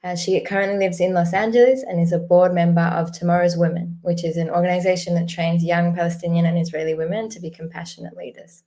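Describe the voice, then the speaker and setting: A woman moderating a community event with a slightly low voice. She introduces the next speaker in a measured way, reading from her notes. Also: level tone, warm tone.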